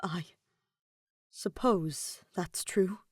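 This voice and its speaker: A woman voicing a devoted, tender young husband and father, in a hushed, choked, halting way. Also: fairly low pitch, slightly rough, no echo, clean studio sound, heavily acted. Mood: subdued, tender.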